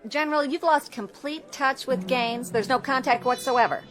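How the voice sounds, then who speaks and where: Actress as a high-voiced, probing female reporter. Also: normal speed, over music, lightly acted. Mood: inquisitive. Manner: direct questioning. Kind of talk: dramatic radio acting. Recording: crowd murmur behind.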